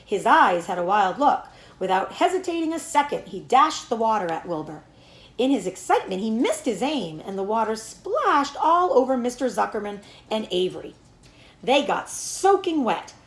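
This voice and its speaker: Animated woman reading aloud, roomy sound. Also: a little high, moderate pace. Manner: animated storytelling with acted dialogue. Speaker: teacher reading aloud to pupils. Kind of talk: expressive children's book read-aloud.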